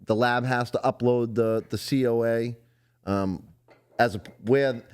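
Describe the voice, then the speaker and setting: A man's voice: medium pitch, steady tone, a little slow, clean tone. A man hosting a casual podcast, talking in a loose, rambling way. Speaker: podcast host. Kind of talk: casual chat.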